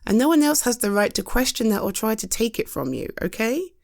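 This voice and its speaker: A British woman in a roleplay, talking frankly and reassuringly to a friend, with halting restarts and a close studio mic. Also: a little high, sing-song, vocal fry. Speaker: audio roleplay performer.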